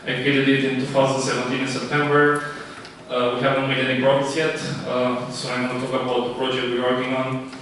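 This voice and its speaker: Hesitant man, flat, echoey room. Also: mid-range voice, slight background noise. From Romania. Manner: halting, many fillers and restarts. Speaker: startup founder pitching. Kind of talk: live startup pitch. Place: event room. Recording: echoey room, distant mic.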